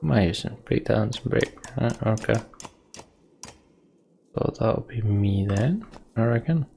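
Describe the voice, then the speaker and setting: A man's voice: lowish voice, animated, unhurried, gravelly, mellow, slight background noise. A young man thinking aloud as he plays a game, muttering to himself. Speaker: let's play host. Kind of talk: gameplay commentary.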